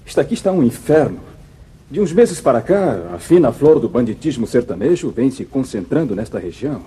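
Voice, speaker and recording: An actor playing an important, troubled small-town banker, grave and formal, in a 1950s radio serial. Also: male voice, medium pitch, expressive, a little fast, mellow, breathy edge. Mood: troubled, complaining.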